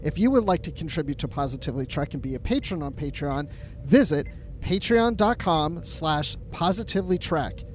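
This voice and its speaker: Higher-voiced man co-hosting a podcast. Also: lively intonation, normal speed, slight background noise. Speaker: podcast co-host. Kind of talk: casual chat.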